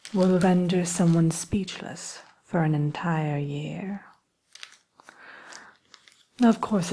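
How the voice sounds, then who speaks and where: Woman playing a hushed, creaky evil queen. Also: sits low, exaggerated voice. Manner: hushed, hesitant, politely wicked, slow deliberate phrasing. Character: sly, genteel evil queen selling cursed apples. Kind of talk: ASMR roleplay, soft-spoken and whispering.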